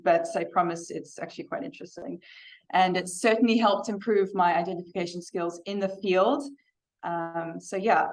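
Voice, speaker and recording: A woman's voice: on the low side, poor audio. A woman from Africa, giving a chatty, self-deprecating club presentation in a meeting room. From South Africa. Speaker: guest presenter. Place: meeting room with a hybrid video call.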